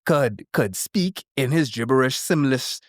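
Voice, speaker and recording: A man's voice: medium pitch, lively intonation, unhurried, dry recording, clean studio sound. A young man with a thick Bahamian accent, a content creator, chatting on a podcast in a boastful, joking way full of slang. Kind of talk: casual podcast chat.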